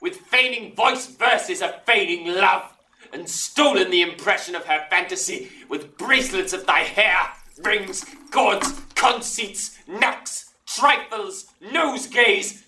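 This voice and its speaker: A man's voice: high voice, animated, takes it slowly, pushed and bright, full character voice. An actor playing an angry, possessive old father in a Shakespearean audio drama, declaiming with indignation. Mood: accusing, indignant. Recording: roomy echo, distant from mic.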